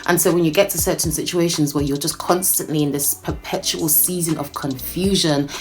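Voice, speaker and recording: A woman in her twenties from Nigeria, chatting casually through a Q&A on her vlog, playful and quick to joke. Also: low voice, steady tone. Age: 20s. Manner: chatty and playful, jokes and laughs often. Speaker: YouTuber.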